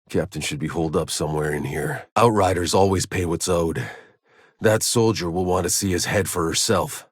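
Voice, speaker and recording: A man's voice: sits low, breathy edge, no echo. An actor playing a hardened, weary male soldier in a sci-fi video game, speaking in a terse, dry, sardonic way. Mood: grim. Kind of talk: scripted game voice lines.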